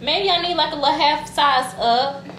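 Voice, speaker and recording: Young woman, Southern American accent, excitable and slangy, roomy echo. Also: high-pitched, normal speed. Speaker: vlogger. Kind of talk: casual vlog chat. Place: apartment kitchen, indoors. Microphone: camera at a distance. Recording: echoey room, clear background noise.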